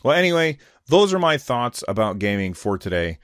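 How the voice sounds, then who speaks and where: A man's voice: mid-range voice, sing-song, normal speed, fairly bright tone, clear, resonant tone, dry recording, clean studio sound. A man hosting a solo podcast, giving casual opinion commentary in an emphatic, conversational way. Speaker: podcast host.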